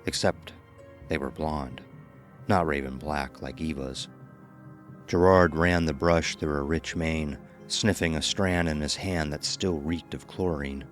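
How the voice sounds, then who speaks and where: A man with an extremely deep voice narrates a scripted horror tale. Music plays behind his storytelling. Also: unhurried.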